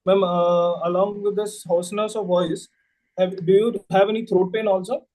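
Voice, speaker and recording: High, mellow, polite young-sounding male doctor. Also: flat delivery, moderate pace, rough recording. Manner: polite, hesitant, formal, often says ma'am. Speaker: doctor practising a patient consultation. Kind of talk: role-played clinical history taking.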